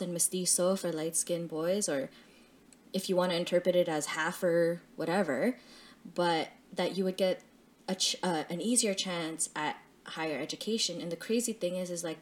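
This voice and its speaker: A woman talking casually and reflectively, thinking out loud with fillers and restarts. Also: lowish voice. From Canada. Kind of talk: casual conversation.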